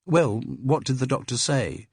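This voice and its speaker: Man doing a calm british woman neighbour, polite but direct, higher than his normal voice. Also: unhurried, slightly rough, no room sound, clean studio sound. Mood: questioning. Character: calm, sociable woman, gently probing neighbour.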